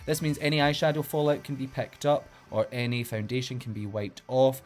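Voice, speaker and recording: A man's voice: medium pitch, little pitch movement, a little fast, soft background music. A man with a Glaswegian Scottish accent, a drag queen hosting a makeup tutorial in an upbeat, playful way and giving step-by-step instructions. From Glasgow, Scotland.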